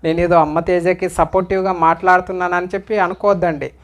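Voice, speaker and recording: A man's voice: high-pitched, little pitch movement, quick pace. Male commentator, speaking straight to viewers, admonishing, full of pointed questions. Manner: admonishing, questioning, repeatedly urging listeners to reflect.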